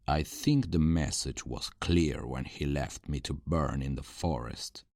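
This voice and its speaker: A man playing a sadistic, taunting vampire in a dark ASMR roleplay, theatrical and mock-polite. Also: very deep voice, dry recording, clean studio sound, exaggerated voice. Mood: bitter.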